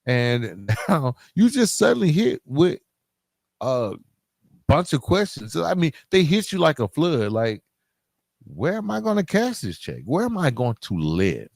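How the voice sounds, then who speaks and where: A man's voice: fairly high pitch, huge pitch swings, unhurried, creaky, no room sound. American man hosting a podcast, dry close sound. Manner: emphatic, often checking in with the listener; colloquial street-inflected slang. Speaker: podcast host. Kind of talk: conversational solo podcast monologue.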